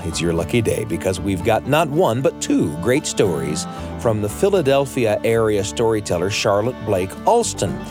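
A man hosting a radio show with scripted, playful, folksy narration addressed straight to listeners. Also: mid-range voice. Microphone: close studio microphone. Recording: dry studio with music underneath.

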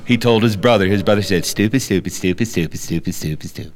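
A man telling a story live in a folksy, deliberate, playful way, with plucked guitar behind him. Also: sits low. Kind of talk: live musical storytelling for an audience. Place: studio with audience.

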